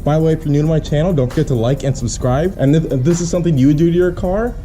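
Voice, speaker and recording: A man's voice: on the high side, racing, warm tone, faint music behind. A man talking in a casual, laid-back, slangy way as a car vlogger explaining a hands-on job. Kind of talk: casual how-to chat.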